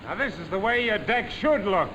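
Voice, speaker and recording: A man's voice: sits high, sing-song, normal speed, highs cut off, background noise. A man addressing a ship's deck crew as an officer, giving stern, impatient orders. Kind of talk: barked orders.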